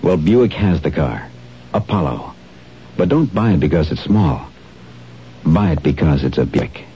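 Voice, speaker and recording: A man reading a 1970s radio car commercial in a relaxed, conversational way. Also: deep voice, measured pace, slight background noise. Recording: dull lo-fi old radio broadcast.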